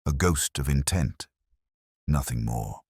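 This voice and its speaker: A man narrating a scripted first-person detective story in a measured, brooding, literary manner. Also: rumbling low, expressive, vocal fry, a little mellow, breathy edge, dry recording, clean studio sound.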